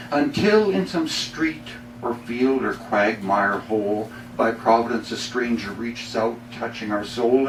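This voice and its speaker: Middle-aged Canadian male poet, deliberate dramatic phrasing, echoey room, faint background noise. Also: mid-range voice, a bit bright. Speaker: poet reading own work. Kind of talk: live poetry reading. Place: bookstore reading before a small audience. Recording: roomy, distant mic, faint noise.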